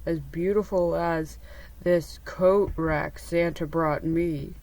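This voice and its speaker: A woman voicing a gloomy, wistful donkey in a slow, mournful storybook manner. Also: sits low, fairly even intonation, darkish tone, a little hiss or hum, voice pushed down, rough recording.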